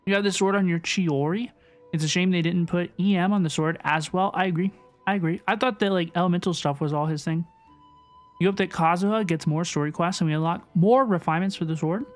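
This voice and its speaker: A woman giving casual, chatty playthrough commentary as a livestreamer, often repeating phrases for emphasis. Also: mid-range voice. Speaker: video game livestreamer. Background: soft game music.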